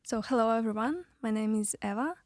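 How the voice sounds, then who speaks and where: A woman's voice: fairly high pitch, a little fast, darkish tone, no echo, studio quality. A young woman with a Russian accent, talking as a student guest in a casual discussion. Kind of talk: casual round-table discussion.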